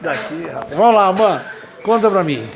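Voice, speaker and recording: A high-voiced man runs a playful, teasing interview and chats away easily between his questions. A clear crowd murmur carries on behind him throughout. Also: lively intonation, normal speed, poor audio. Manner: jokey, teasing, chatty.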